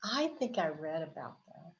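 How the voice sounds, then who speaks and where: A woman's voice: low-pitched, big pitch swings, normal speed, vocal fry. A woman from Texas chatting casually and spontaneously as a guest in a conversation. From Houston, Texas, USA. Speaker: interview guest. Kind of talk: casual chat. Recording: dull lo-fi call audio.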